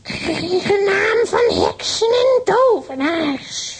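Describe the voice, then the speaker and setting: Casting: vengeful old witch for children's radio drama, very high, creaky, extremely slow declaiming. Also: very animated, full character voice. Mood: trance-like, bitter. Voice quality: shrill, creaky. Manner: slow, drawn-out, theatrical declaiming. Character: cackling old witch, bitter, vengeful and wily. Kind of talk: children's radio play acting.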